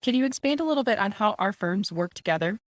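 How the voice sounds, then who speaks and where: A woman hosting a business podcast, reading a polished introduction and asking interview questions in a brisk way. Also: medium pitch, expressive, no room sound, studio quality. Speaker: podcast host.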